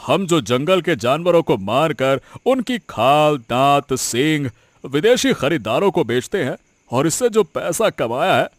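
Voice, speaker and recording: Crooked village headman played by a man for a cartoon tale: greedy, murderous, unhurried, fairly high male pitch, dry sound. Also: big pitch swings, no room sound. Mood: secretive, smug. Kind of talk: Hindi animated story dialogue.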